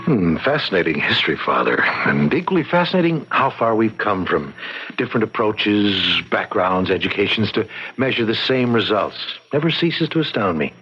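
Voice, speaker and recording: A man playing a learned, frank psychiatrist in an old-time radio drama, measured and wry, on a muffled recording. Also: on the low side, sing-song, bright, forceful tone, a little hiss or hum. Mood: reflective.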